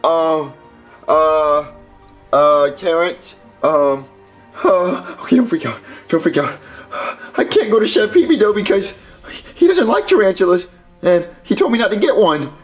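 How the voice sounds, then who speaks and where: A man's voice: high voice, expressive, a little slow, faint music behind. Man playing a whiny, swooping, anxious little puppet boy. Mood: nervous, worried. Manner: hesitant, stammering, repeats phrases when worried. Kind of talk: scripted puppet comedy acting.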